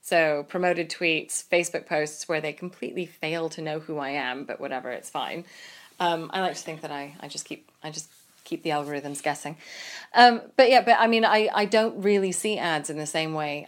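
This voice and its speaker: Wry woman in her thirties, low voice. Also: normal speed. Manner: wry, self-mocking humor. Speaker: podcast co-host. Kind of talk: casual chat.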